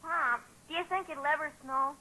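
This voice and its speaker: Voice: high-pitched, normal speed, bright, forceful tone, rough recording. A performer playing an eager, trusting young boy in 1940s radio comedy-drama, wide-eyed and insistent, full of boyish slang. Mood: curious. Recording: 1940s radio broadcast recording.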